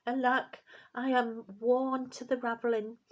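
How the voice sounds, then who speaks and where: Woman reader doing weary old tailor, lamenting, slightly lifted pitch. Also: level tone, a little slow, lo-fi sound. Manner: tired, lamenting.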